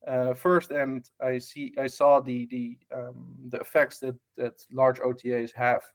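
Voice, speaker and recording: Dutch man, mid-pitch, chatty guest who hesitates a lot and keeps checking "right?". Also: level tone, unhurried. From Netherlands. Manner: many fillers and restarts, tag questions. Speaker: guest, hospitality tech lead. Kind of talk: casual podcast interview.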